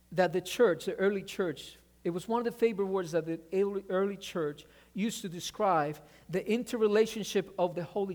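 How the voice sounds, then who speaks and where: A man whose first language is Spanish, preaching a sermon with energy, often restarting words and asking for an amen. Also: high-pitched. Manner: animated preaching, repeats words, asks amen. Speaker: pastor.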